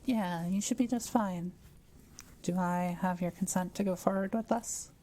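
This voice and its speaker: Kind witch played by a woman for audio roleplay: lowish, soft-edged tone, patient and reassuring. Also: steady tone, measured pace, close to an everyday voice. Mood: gentle, reassuring. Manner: gentle, careful, explanatory. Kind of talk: intimate one-to-one audio roleplay, conversational.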